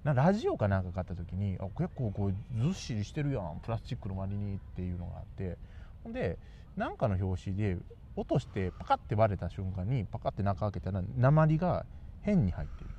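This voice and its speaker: A man with a Kansai accent and a soft, mellow tone talks casually on a radio show. His intonation moves up and down a lot, and he mixes politeness with dialect banter. The sound is a bit dull, with faint noise. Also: fairly low pitch, big pitch swings, fast. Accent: Kansai Japanese. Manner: deferential polite speech mixed with dialect. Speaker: radio talk show co-host. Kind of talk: casual comic chat.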